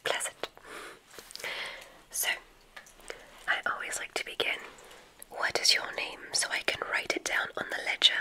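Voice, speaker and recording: A woman playing a gracious, whimsical witch who runs a magic school, in a genteel, breathy ASMR whisper. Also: bright, forceful tone, poor audio. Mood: welcoming, theatrical. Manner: genteel, unhurried, welcoming. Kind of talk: ASMR medical roleplay.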